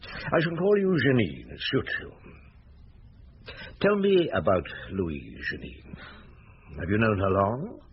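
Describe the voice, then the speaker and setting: Radio drama detective: patient, authoritative, dryly probing, lowish voice, slightly noisy muffled sound. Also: male voice, big pitch swings. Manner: measured, dry, probing questioning. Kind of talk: radio drama acting and narration.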